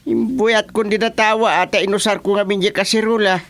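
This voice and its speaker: A woman's voice: medium pitch, fairly even intonation, brisk, lightly acted. A woman playing a grumbling, defensive village spouse bickering in a radio comedy drama.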